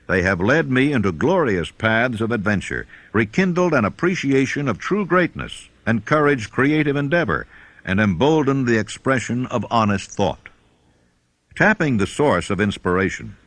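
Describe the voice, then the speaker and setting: A man narrates a self-help audiobook in a somewhat low voice with lively, varied intonation. His delivery is measured, formal and emphatic. The sound is dry, free of room echo. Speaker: audiobook reader.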